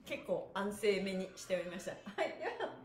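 A woman's voice: medium pitch, animated, brisk, over music. A middle-aged woman leading a live-streamed stretching lesson, giving cheerful, encouraging, polite instructions and counted chants. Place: small bare-walled room indoors. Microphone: camera a few feet away. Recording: roomy echo from camera distance.

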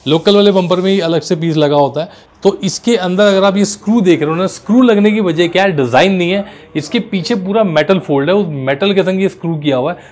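A man in his thirties with a slightly high voice. He presents products in an informal, explanatory way, speaking directly to viewers like a shop host on a vlog. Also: brisk, a little mellow. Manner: explanatory, addresses viewers as brothers. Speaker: auto accessories shop presenter. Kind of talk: informal product showcase to camera. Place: small indoor car accessories showroom.

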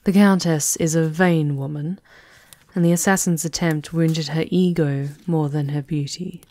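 A woman acts a composed High Enchantress in a gothic ASMR tale. Her voice is very low and she takes her time, formal and knowing. It is recorded dry and close. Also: fairly even intonation, measured pace, warm tone, no echo. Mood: brisk, knowing. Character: shrewd, composed female High Enchantress advising a vampire countess. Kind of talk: ASMR roleplay acting.

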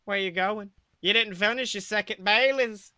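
Man playing creepy needy creature, high-pitched, sharp, wheedling, childlike menace, comic fan fiction. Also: moderate pace, no echo, clean studio sound. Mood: eager. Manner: wheedling, speaks of himself in third person. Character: menacing, childlike male scaly webbed-handed creature, eager, needy. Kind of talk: comedic fan fiction character reading.

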